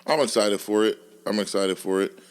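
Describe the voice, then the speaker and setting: Deep-voiced laid-back young man. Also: a little fast, clean tone. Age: 20s. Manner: laid-back, slangy storytelling, laughs often. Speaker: podcast co-host. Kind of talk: casual chat.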